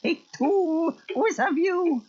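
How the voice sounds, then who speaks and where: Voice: extremely high pitch, fairly bright tone, exaggerated voice. An actor playing a wisecracking, cackling bat in a children's cartoon audio story. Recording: muffled old audio cassette.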